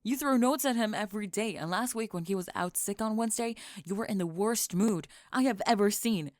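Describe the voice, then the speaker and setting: A woman playing a cool, blunt teenage bully girl with a wry, deadpan, teasing manner in an ASMR audio roleplay. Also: medium pitch, brisk, no room sound, studio quality, natural voice.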